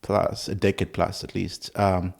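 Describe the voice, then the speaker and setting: A man in his thirties, originally from Zimbabwe, speaks as a guest with a slightly low voice. He talks in halting restarts and laughs easily, recorded indoors in a conductor's room. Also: little pitch movement, vocal fry. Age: 30s. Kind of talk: casual interview conversation.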